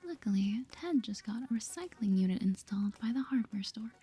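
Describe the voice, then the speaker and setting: A woman softly whispering an ASMR game playthrough in a gentle, playful way, reading dialogue aloud and thinking out loud. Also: mid-range voice, animated, fairly quick. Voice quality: breathy, mostly whispered. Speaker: gaming video host.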